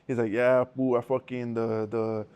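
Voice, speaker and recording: A man's voice: medium pitch, little pitch movement, normal speed, a little mellow, smooth. A young man from Southern California telling stories in a rambling, profane way full of street slang. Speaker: interview guest. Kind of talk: casual storytelling with heavy slang.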